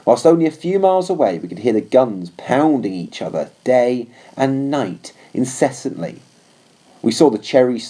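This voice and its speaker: A man narrating a storybook as a loyal, earnest army horse in a measured way. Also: on the low side, warm tone, rough recording.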